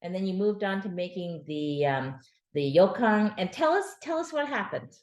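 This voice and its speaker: A woman's voice: mid-range voice, big pitch swings, normal speed, a little mellow, echoey room. A woman talking casually with her father, explaining food terms in a chatty way with fillers. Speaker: author interviewing her father. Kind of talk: casual family conversation.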